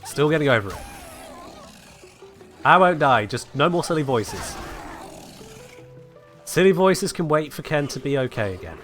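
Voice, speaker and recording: A man's voice: on the high side, big pitch swings, measured pace, long pauses, a bit bright, soft background music. A man streaming a game while sick, chatting casually and answering his chat, with a cough now and then.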